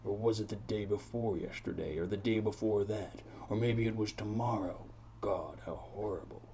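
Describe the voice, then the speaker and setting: Deep-voiced hard-boiled noir detective, acted by a man. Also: moderate pace, dark tone, slight background noise, natural voice. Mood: anguished, musing. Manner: brooding, florid, repetitive wordplay. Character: world-weary, rambling hard-boiled male private detective. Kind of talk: noir audio drama narration.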